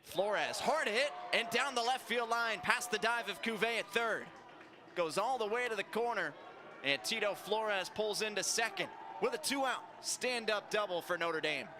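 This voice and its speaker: A male baseball play-by-play announcer with a slightly high voice, calling the game live. Clear outdoor ballpark noise sits behind him. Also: lively intonation, moderate pace. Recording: noisy outdoor stadium ambience.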